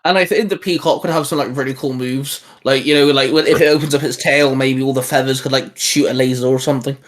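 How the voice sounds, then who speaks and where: A man's voice: medium pitch, steady tone, quick pace. A man co-hosting in a casual, geeky chat, talking in an enthusiastic, informal way. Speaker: co-host of a hobby video channel.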